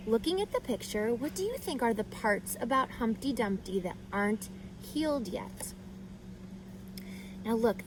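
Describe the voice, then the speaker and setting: Slow, expressive woman reading to children. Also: on the high side, background noise. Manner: expressive, deliberate, addresses child listeners directly. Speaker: read-aloud storyteller for children. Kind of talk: children's picture book read-aloud with questions to listeners.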